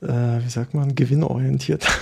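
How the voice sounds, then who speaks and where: Hesitant man, gravelly and dark. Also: mid-range voice. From Berlin, Germany. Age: 30s. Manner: hesitant, many fillers and restarts. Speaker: bar co-owner interviewee. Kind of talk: reflective interview answers.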